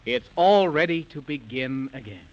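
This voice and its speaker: Lively high-voiced male storyteller in rhyme. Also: normal speed. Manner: theatrical, sing-song. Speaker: narrator. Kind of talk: 1930s radio children's storytelling in rhyming verse. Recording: muffled lo-fi 1930s radio recording.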